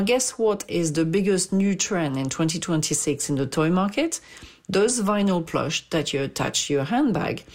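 Low-voiced woman, emphatic market analyst. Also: darkish tone. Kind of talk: expert commentary.

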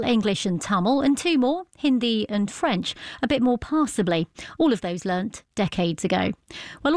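A woman presenting a radio news programme in a measured, conversational way. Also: medium pitch, darkish tone, no room sound, clean studio sound.

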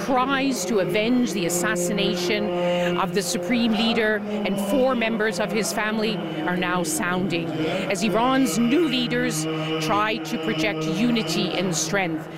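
A woman foreign correspondent narrating a scripted news report in a slow, deliberate way. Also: mid-range voice, loud music behind the voice.

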